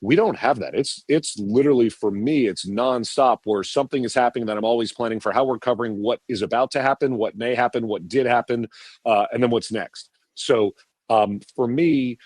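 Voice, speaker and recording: A man's voice: mid-range voice, a little fast, darkish tone, dry recording. A middle-aged man, a TV producer, answering podcast questions in a rambling way with many restarts and repeated words. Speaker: TV producer guest.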